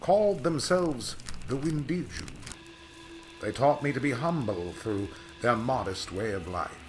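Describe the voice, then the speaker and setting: A man's voice: mid-range voice, lively intonation, a little slow, faint music behind. A man playing a proud, curt aviator in old-time radio style, with formal, old-fashioned diction. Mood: grave. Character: proud male aviator home from three lost years, curt, formal. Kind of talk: 1940s radio acting.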